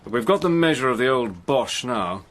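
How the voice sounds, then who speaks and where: An actor playing a resolute army major who narrates and speaks in a brisk, soldierly way. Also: male voice, medium pitch, expressive, a bit bright, slight background noise, lightly acted. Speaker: narrator and lead. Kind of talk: first-person dramatic narration.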